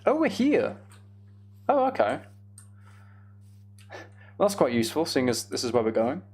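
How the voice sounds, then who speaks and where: A man's voice: mid-range voice, huge pitch swings, a little slow, darkish tone, slightly rough. A man chatting casually as he livestreams a game, with self-deprecating, muttered asides to himself. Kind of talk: casual gaming livestream chat with commentary.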